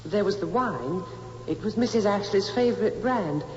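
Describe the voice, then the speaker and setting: A woman's voice: mid-range voice, expressive, moderate pace, a little mellow, natural voice. A woman playing a forthright young housemaid giving testimony in an old-time radio drama. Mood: insistent. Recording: echoey, muffled, noisy old radio recording.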